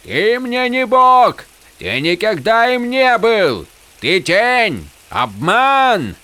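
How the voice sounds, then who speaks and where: A man's voice: a little high, very animated, takes it slowly, pitched above the performer's own voice, full character voice. A man voicing a desperate, defiant warrior prince in a fantasy audiobook, crying out to a god in impassioned demands and pleas. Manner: shouting, pleading, impassioned.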